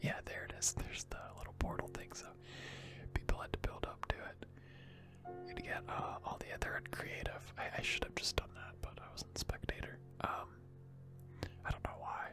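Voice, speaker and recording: A person giving rambling, hesitant gaming commentary in a breathy, unvoiced ASMR whisper close to the microphone. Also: normal speed, over music. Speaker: gaming video host.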